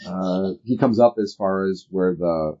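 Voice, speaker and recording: A man's voice: deep voice, unhurried, mellow. A man narrating as game master of a tabletop role-playing game, explaining things conversationally and off the cuff. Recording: dull lo-fi recording with faint noise.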